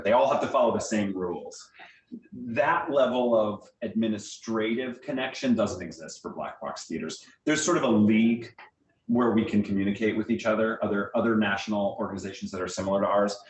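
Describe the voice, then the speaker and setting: An echoey, slightly distant recording of a man with a lowish voice. He answers questions informally as a guest speaker, explaining in a conversational way with some hesitant fillers. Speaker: theater artistic director, guest speaker. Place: meeting room with an audience, also on Zoom. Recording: echoey room, distant microphone.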